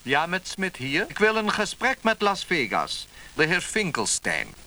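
A man's voice: high-pitched, huge pitch swings, a little slow, noisy background, exaggerated voice. An actor playing an impatient, curious male motel guest in a comic radio play, brusque and insistent. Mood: businesslike.

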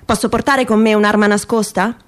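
A woman performing a theatrical reading of a humorous first-person essay in a wry, mock-earnest and expressive manner. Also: mid-range voice, fairly quick.

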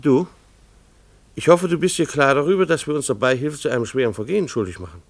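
An actor playing a mild-mannered, beleaguered schoolteacher husband who narrates a German radio play in a wry, earnest, hesitant way. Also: male voice, mid-range voice, quick pace, close to an everyday voice. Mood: uneasy. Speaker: narrator and lead character.

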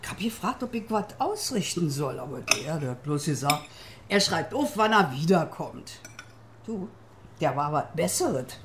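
A woman's voice: low-pitched, big pitch swings, moderate pace, slight background noise. An actress playing an old, lonely war-widow neighbour, chatty and mothering, in a radio drama. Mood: gossipy. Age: older.

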